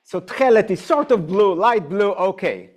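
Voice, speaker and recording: A man's voice: high voice, lively intonation. A man with an Israeli accent, a native Hebrew speaker, teaching an informal Bible class and putting questions to his students. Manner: unhurried, explaining with questions to the class. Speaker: rabbi teaching adult education. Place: synagogue classroom.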